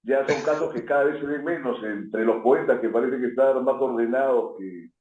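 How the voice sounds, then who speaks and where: A man's voice: mid-range voice, moderate pace. A man hosting a radio interview, asking long, winding questions. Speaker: host. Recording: tinny phone-like line in an echoey room.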